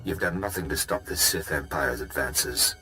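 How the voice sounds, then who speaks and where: An actor playing a bitter, vengeful fallen Jedi master, speaking with cold menace in a game cutscene. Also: male voice, a bass voice, moderate pace, pushed and bright. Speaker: villain in a video game cutscene. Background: game score music.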